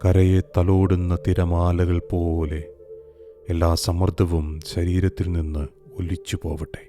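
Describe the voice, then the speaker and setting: Deep soft guy doing guided meditation, calm n slow w long pauses, quiet music behind him. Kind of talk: scripted guided meditation narration. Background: soft meditation music with singing bowls.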